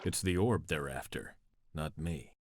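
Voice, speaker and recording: An actor playing a stern, brooding samurai prince with a husky voice and a curt, commanding manner in a video game cutscene. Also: male voice, extremely low pitch, a little slow, noisy background.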